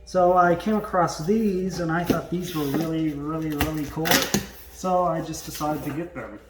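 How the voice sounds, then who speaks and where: A man giving casual, unscripted unboxing commentary in a halting, hesitant way. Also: a little high, fairly even intonation, heavy background noise. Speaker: vlogger doing an unboxing.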